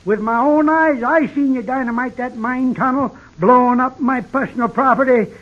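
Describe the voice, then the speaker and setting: An actor playing a cranky, eccentric old mule packer with a folksy rustic drawl, in 1950s western radio drama style. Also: male voice, extremely high pitch, normal speed. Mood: cranky, indignant. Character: crazy cranky old male mule packer, easily delighted. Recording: dull old radio recording.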